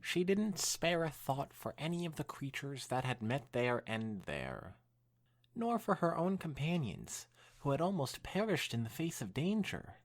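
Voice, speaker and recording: A man's voice: a little high, sing-song, measured pace. A man co-hosting a casual podcast, reading a story aloud and making dry, teasing remarks. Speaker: podcast co-host.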